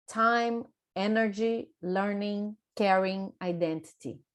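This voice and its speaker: A woman from Brazil with a Brazilian Portuguese accent, a native Portuguese speaker presenting a coaching workshop over a video call, speaking carefully and deliberately and correcting herself now and then. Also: mid-range voice, a little mellow. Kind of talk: prepared online presentation.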